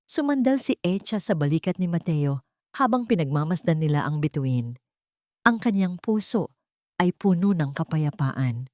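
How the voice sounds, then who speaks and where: Woman, Filipino accent, lower pitch, solemn storytelling narration, measured short phrases, dry close sound. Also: expressive, a little mellow, no room sound. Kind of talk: scripted dramatic storytelling narration.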